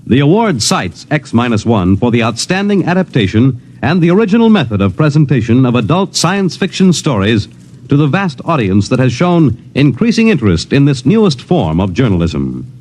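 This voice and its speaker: A man announcing for a radio network in a formal, measured, dramatic 1950s style. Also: mid-range voice, big pitch swings, darkish tone, poor audio. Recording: old radio recording, faint noise.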